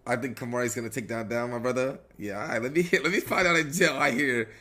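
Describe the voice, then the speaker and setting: A man's voice: mid-range voice, fast talker, sharp tone. A young man streaming game role-play, bantering casually in brash, profane slang.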